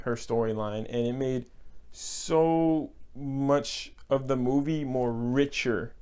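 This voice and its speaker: A man's voice: mid-range voice, a little mellow, smooth. Earnest young man reviewing a film. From Texas, United States. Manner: earnest, emotional, repeats words for emphasis; breaks into short laughs. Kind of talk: casual movie review.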